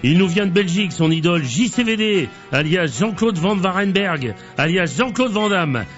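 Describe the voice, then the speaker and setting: A man hosting a radio interview, talking slowly with stumbles and repeated words. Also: sits high, expressive, fairly bright tone, lo-fi sound, faint music behind. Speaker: radio host.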